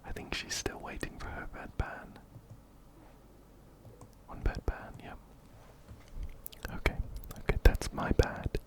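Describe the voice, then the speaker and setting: A man whispering ASMR game commentary very close to a binaural mic, gently repeating his phrases. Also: slow, pauses often. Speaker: ASMR host playing a video game. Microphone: close binaural microphone, ear to ear.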